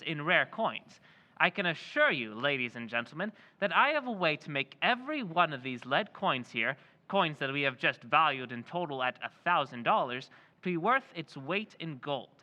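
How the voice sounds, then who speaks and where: An actor playing a smooth-talking mysterious stranger who presents himself as an antiques dealer, in an old-time radio play recreation. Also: male voice, on the high side, pushed and bright. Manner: persuasive, salesmanlike.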